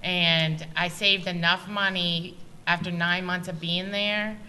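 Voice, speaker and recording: A woman's voice: on the low side, steady tone, slow pace, pushed and bright, a little hiss or hum, low-quality recording. A woman in her thirties on a university panel, speaking in an earnest, direct way on a table microphone. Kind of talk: casual panel talk. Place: university meeting room.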